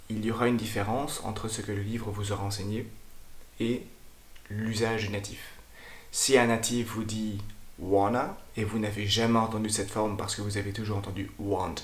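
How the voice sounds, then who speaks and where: A man, a language teacher and channel host, talking to camera in a thoughtful, explanatory way, often pausing to hesitate. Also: fairly low pitch, echoey room. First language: French. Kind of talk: casual explanatory talk to camera.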